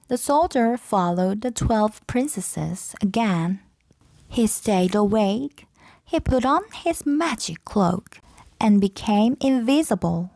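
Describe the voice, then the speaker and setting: A woman narrating a children's fairy tale aloud from a script, with deliberate, clearly phrased delivery. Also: mid-range voice, a little mellow, dry recording. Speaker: storybook narrator.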